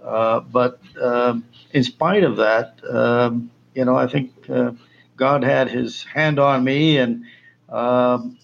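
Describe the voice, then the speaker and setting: A man from Colombia, a missionary guest, answering interview questions in a halting way with many fillers. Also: medium pitch, steady tone, creaky, muffled recording.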